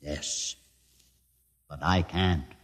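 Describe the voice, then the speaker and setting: An actor playing a learned, brooding mutant outcast leader in a 1950s radio drama, speaking deliberately with weighty pauses. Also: male voice, rumbling low, fairly even intonation, fairly bright tone, heavily acted. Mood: bitter, sombre. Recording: old 1950s radio recording, long reverb.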